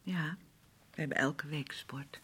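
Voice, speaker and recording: A woman's voice: sits low, takes it slowly, a bit bright, slightly rough, heavily acted. An actress playing a fragile, naive grown woman in a radio drama, speaking breathily and often near a whisper in simple, hesitant phrases. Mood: eager, childlike. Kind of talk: naturalistic Dutch radio drama acting.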